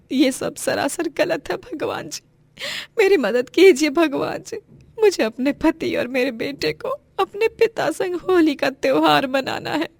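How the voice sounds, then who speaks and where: Woman acting a distressed poor mother, high emotional voice. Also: no echo. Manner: pleading, emotional. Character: poor distressed devout mother, fiercely protective.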